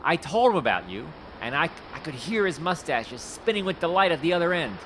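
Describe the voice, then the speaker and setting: An actor playing a folksy, fast-talking male Hollywood talent scout with a salesman's patter in an audio drama. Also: on the high side, very animated, a bit bright, slight background noise, low-quality recording.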